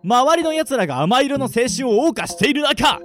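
A man playing a melodramatic, whiny high school baseball player in an amateur radio drama. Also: lively intonation, moderate pace, fairly bright tone, soft background music. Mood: sulky, indignant. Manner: theatrical, whiny outbursts.

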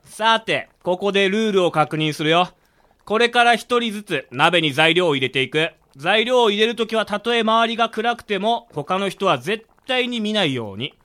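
A man's voice: high-pitched, expressive, normal speed, pushed and bright. A man playing a boisterous young man in a radio drama, announcing things theatrically as the ringleader of a game. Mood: excited, commanding. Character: boisterous young man gleefully hosting a dark hot-pot game. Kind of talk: amateur Japanese radio drama acting.

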